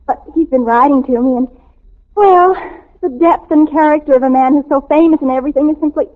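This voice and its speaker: A woman's voice: high voice, fairly quick, natural voice. A woman playing a gushing, dreamy, star-struck teenage girl in 1940s radio comedy style, flustered and melodramatic. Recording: old narrowband radio recording.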